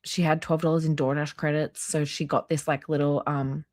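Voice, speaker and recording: A woman's voice: deep voice, level tone, moderate pace, darkish tone, no echo, clean studio sound. A woman hosting a casual reaction livestream, reading aloud in an informal way with offhand asides. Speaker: livestream host.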